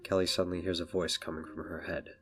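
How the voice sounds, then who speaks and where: A man with a deep voice narrates a scripted audio drama. Music runs clearly behind his reading. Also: level tone, quick pace, fairly bright tone. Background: background music.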